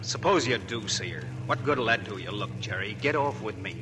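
A man's voice: fairly low pitch, expressive, brisk, over music, natural voice. A man playing an earnest, pleading army officer. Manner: pleading, persuasive. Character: earnest young male army officer friend. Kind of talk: 1940s radio acting. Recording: noisy old radio broadcast.

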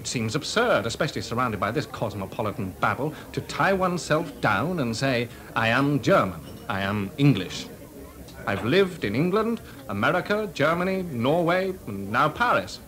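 An actor playing a witty young composer in a 1980s radio drama, talking dryly and ironically. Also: male voice, mid-range voice, very animated, moderate pace, fairly bright tone. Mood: wry. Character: composer Delius, young man, wry and witty. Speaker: lead actor. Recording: party crowd behind.

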